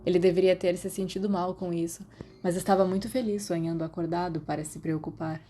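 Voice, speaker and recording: A woman's voice: on the low side, steady tone, fairly quick, mellow. A woman with a Brazilian accent reading scripted fiction as an audiobook narrator. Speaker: podfic narrator.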